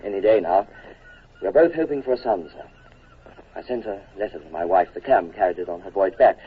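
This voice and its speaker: An earnest young lieutenant. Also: male voice, deep voice, fairly quick, breathy edge. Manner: earnest, respectful. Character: earnest young male naval lieutenant, eager. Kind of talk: 1940s radio acting. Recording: tinny old radio broadcast.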